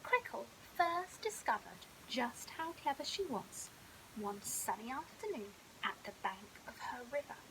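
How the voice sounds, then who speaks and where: High, animated woman storyteller. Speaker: storyteller, primary school teacher. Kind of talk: expressive children's bedtime story narration. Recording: hissy background noise.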